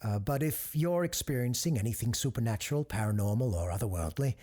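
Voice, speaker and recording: A man's voice: medium pitch, expressive, a little fast, mellow, lightly acted. An actor playing an earnest, cautious male host of a paranormal radio call-in show in an audio drama. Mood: puzzled, composed.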